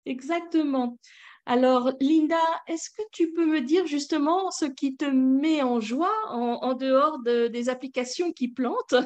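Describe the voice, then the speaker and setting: High-voiced woman host, slow and echoey. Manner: warm, effusive, laughs often. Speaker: podcast host. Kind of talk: casual interview hosting. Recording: echoey, muffled lo-fi call audio.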